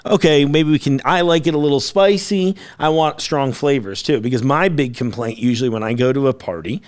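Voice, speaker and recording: A man's voice: mid-range voice, brisk. A man hosting a food podcast in casual, upbeat chat, laughing often. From United States. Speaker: podcast host.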